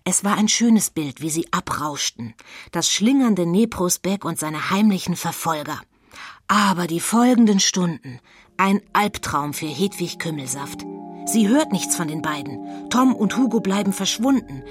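A woman playing the storyteller in a spooky children's radio drama, husky and suspenseful in her emphatic narration. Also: lowish voice, lively intonation, a little slow, no room sound, faint music behind.

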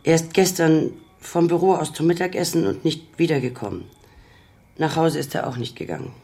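Middle-aged housekeeper, very low female voice, careworn and motherly, evasive, naturalistic radio drama. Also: lively intonation, brisk, warm tone. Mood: grave, worried.

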